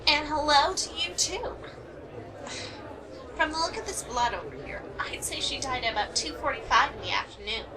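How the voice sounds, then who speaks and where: Woman acting high, lilting morbid coroner. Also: lively intonation, moderate pace, crowd noise, rough recording. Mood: dryly playful. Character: cheerfully morbid female medical examiner, dry-humored. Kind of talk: amateur radio play acting.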